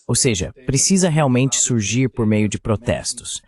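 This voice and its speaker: A man's voice: mid-range voice, expressive, normal speed, a little mellow. A man giving an insistent, argumentative voice-over translation of a guest on a discussion panel.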